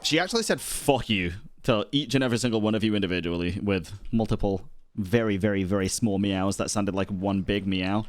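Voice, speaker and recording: A woman's voice: rumbling low, fairly quick. A woman chatting casually on a gaming stream, with playful, sweary asides. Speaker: streamer reacting to a game.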